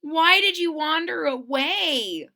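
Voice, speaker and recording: A woman's voice: sits high, lively intonation, takes it slowly, creaky, bright, forceful tone, pitched above the performer's own voice, exaggerated voice. A woman voicing an earnest, affectionate little girl in a storybook read-aloud, speaking emphatically. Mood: gently scolding.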